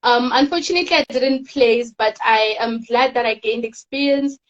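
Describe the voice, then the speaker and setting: A teenage girl from South Africa, a guest in a casual interview, telling her story softly and rambling with fillers. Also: on the high side, moderate pace, dull audio. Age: teens. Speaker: guest, teen pageant winner.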